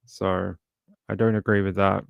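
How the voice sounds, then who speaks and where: Gravelly-voiced man, low and dry. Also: little pitch movement, no room sound. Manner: halting, many fillers and restarts. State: crackly voice. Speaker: true crime livestream host. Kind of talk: casual livestream commentary, reading chat.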